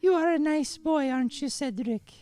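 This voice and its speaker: High-voiced, coy Irish girl, played by a woman. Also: normal speed, warm tone, no room sound, clean studio sound, natural voice. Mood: coy, confident. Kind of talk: improvised old-time radio comedy play.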